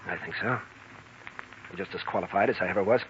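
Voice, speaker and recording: A low, forward-voiced young intern, brooding and vengeful, in 1940s radio drama style. He sounds like someone nursing an old wrong. The recording is muffled, with faint hiss. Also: quick pace, a bit bright. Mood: bitter, resigned. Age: young adult.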